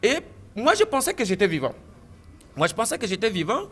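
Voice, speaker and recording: A man giving a testimony in an interview, telling his story with animated delivery, stammered restarts and repeated phrases. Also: high voice, a little fast, breathy edge. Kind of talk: recounting a personal story in an interview.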